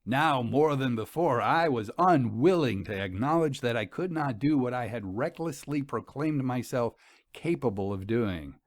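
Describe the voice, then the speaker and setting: A man narrating a first-person story in a measured, formal, old-fashioned manner. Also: mid-range voice, no room sound, studio quality.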